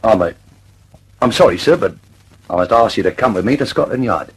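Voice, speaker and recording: An actor in an old radio drama playing a polite, reluctant plainclothes policeman, formal and halting. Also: on the low side, expressive, natural voice, low-quality recording. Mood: formal, apologetic.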